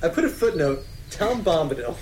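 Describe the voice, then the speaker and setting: A man's voice: on the high side, very animated, normal speed, a little mellow, slight background noise, low-quality recording. A man co-hosting a podcast, reading fan fiction dramatically and bantering casually, often amused as he goes. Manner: often breaking into laughter while reading. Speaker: podcast co-host.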